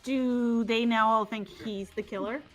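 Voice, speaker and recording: A woman's voice: a little high, steady tone, measured pace, faint music behind, poor audio. A woman playing a game with friends, curious and throwing out theories as questions. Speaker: player in a group playthrough. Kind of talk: casual chat with friends.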